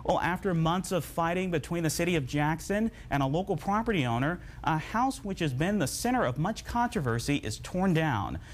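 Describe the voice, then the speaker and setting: An American man anchors a newscast, reading scripted stories. His voice sits a little high and moves with animated pitch. A faint noise runs behind him. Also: moderate pace, few pauses. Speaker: news anchor. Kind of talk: scripted news narration.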